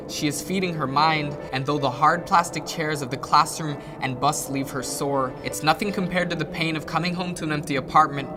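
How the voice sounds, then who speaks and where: A woman performing spoken-word poetry in a measured, lyrical storytelling manner. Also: low voice. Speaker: slam poet. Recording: music clearly behind the voice.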